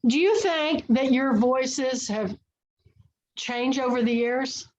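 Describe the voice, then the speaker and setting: A woman's voice: high-pitched, slow, darkish tone, low-quality recording. A woman hosting an online book and film club, chatting eagerly and telling stories. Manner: chatty, enthusiastic storyteller. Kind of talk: casual book and film chat. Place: online video call.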